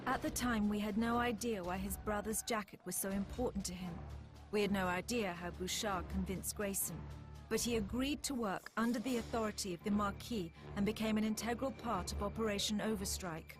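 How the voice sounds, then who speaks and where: An actress playing a military officer, narrating a video game war story in a measured, grave way. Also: female voice, mid-range voice, natural voice. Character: sober woman military officer recounting the war. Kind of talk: scripted narration. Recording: music behind.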